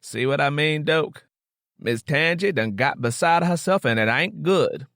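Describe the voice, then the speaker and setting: A man's voice: on the high side, expressive, unhurried, creaky, fairly bright tone, no room sound, exaggerated voice. Audiobook role: old Southern grandmother, played by a man, blunt, scolding, fretful, dry sound. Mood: disapproving. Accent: American South. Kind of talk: audiobook character dialogue.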